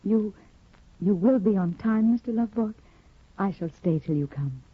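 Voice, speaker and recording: An actress playing a timid, anxious young woman in a 1950s radio drama, with a breathy, pleading, nervous delivery. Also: medium pitch, animated, normal speed, no room sound. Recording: dull lo-fi 1950 radio recording.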